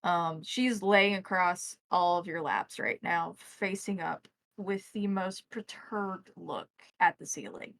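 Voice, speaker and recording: A woman's voice: on the low side, fairly even intonation, slow pace, vocal fry, few pauses, fairly bright tone, dry recording. A woman narrating a tabletop roleplaying game as game master, checking back with her players as she goes.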